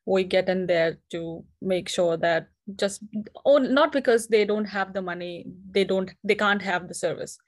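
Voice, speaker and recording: A woman's voice: mid-range voice, measured pace. A young woman from India in her twenties, a company founder, talking earnestly as an interview guest and often restarting her words. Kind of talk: casual interview conversation.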